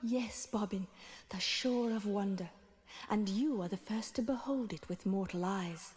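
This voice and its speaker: An actress playing a gentle noble mother turned swan in an adventure game, speaking in a measured, formal, tender way. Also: mid-range voice, natural voice. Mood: gentle, solemn.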